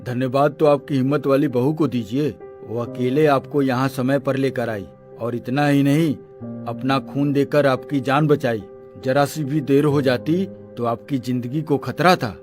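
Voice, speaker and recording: A man's voice: medium pitch, fairly even intonation, unhurried, a little mellow, lightly acted. A man playing an earnest hospital doctor in a Hindi cartoon moral story, formal and matter-of-fact. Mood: earnest, admonishing. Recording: music under the voice.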